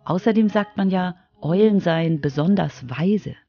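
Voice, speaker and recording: A woman's voice: on the low side, measured pace, lo-fi sound. A woman reading scripted narration as the reporter of a children's radio feature.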